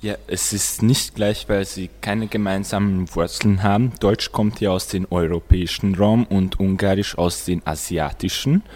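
A man's voice: low voice, fairly even intonation, normal speed, hardly any pauses, a little mellow. A teenage boy, a school student, explaining languages in conversation with a classmate on a radio show. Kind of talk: presenting language comparisons with a classmate.

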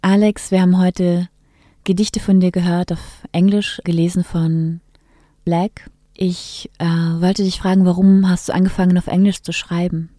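A woman interviewer asks questions in a measured, slightly hesitant way. Her voice is low and mellow, with a fairly even tone. The recording is close and dry. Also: no room sound.

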